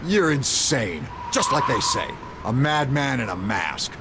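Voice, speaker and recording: An actor playing a corrupt middle-aged mayor in a video game, blustering, indignant and easily rattled. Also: male voice, medium pitch, big pitch swings, measured pace, heavy background noise. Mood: bitter, justifying. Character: corrupt, panicky middle-aged male city mayor, blustering and self-serving. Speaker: politician character. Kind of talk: dramatic video game acting.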